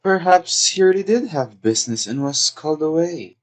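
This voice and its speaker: Woman playing a low-voiced, timid young daughter. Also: expressive, normal speed. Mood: meek. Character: timid, dutiful young daughter of a society hostess. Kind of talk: comic stage-play acting.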